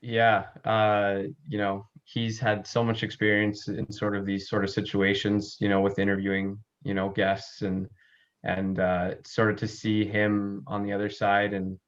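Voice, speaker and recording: A man's voice: fairly low pitch, monotone, unhurried, highs cut off. A young man chatting casually as a podcast co-host, recorded at home. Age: young adult. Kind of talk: casual chat.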